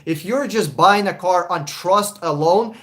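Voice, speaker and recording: Young man, slightly high voice; casual, blunt live-stream car advisor. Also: unhurried, sharp tone. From Russia. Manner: casual, blunt, swears, chuckles often. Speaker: car buying advisor, livestream host.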